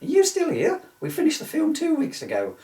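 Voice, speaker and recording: A man's voice: extremely high pitch, huge pitch swings, a little fast, dark tone, pitched above the performer's own voice, exaggerated voice. A man voicing an exasperated male film director from a comedy book, incredulous and exaggerated, with a put-on comic accent. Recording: echoey room, distant mic.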